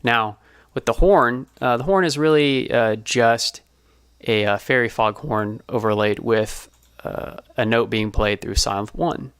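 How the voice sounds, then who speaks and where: A man presenting an informal behind-the-scenes explainer as a sound designer, speaking in a casual, halting way. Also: mid-range voice, fairly bright tone.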